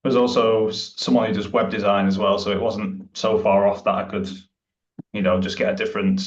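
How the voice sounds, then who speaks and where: A man from the UK with a British accent, presenting his software at a meetup over a video call, hesitant with many fillers and restarts. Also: on the low side, little pitch movement, fairly quick. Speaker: presenting developer. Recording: distant, roomy, dull sound.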